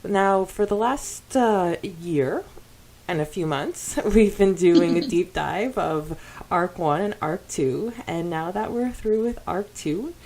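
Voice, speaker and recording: A woman's voice: lowish voice, takes it slowly, darkish tone. Giggly woman co-hosting a casual podcast. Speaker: podcast co-host. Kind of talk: casual fan podcast chat.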